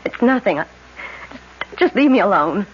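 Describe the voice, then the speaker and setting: An American actress playing a troubled young woman in a 1970s radio drama, halting and emotional in her delivery. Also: medium pitch, big pitch swings, close to an everyday voice. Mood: distraught. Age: young adult. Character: troubled, overwrought young woman plagued by guilt and headaches. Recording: dull, noisy old radio broadcast.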